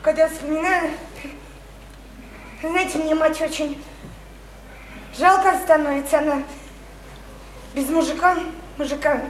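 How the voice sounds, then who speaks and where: A high, childlike voice of a naive, soulful young provincial woman in a radio drama. She speaks haltingly and repeats herself with earnest feeling. The recording is echoey, with clear background noise. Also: steady tone. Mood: plaintive, pitying. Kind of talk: stage-style radio drama acting.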